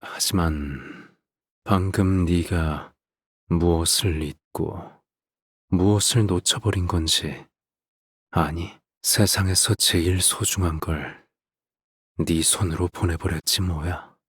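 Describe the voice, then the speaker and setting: Deep, husky, sinister father villain. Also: steady tone, no room sound, clean studio sound, full character voice. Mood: cruelly gentle. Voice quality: husky, breathy. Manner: measured, soft-spoken, condescending, trailing into breathy near-whispers. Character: calm, sinister middle-aged father villain, coldly tender. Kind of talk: theatrical scripted game voice acting.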